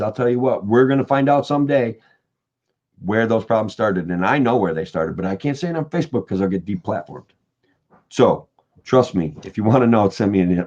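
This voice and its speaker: Hoarse guy rambling on a livestream, keeps saying guys and okay, dull lo-fi sound. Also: medium pitch, darkish tone. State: voice getting hoarse. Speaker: political candidate livestreaming. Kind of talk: casual livestream talk to viewers.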